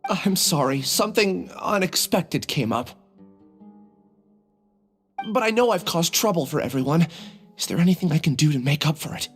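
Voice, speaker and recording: An actress playing an apologetic, dutiful young researcher in a video game, speaking politely and deferentially. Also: female voice, sits low, animated, normal speed, pauses often, soft background music. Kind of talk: scripted video game dialogue.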